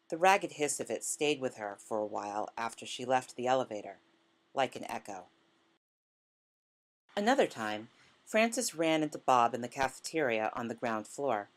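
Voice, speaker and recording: A woman reading literary fiction aloud as scripted narration, in a measured manner. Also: very deep voice. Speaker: author reading a short story.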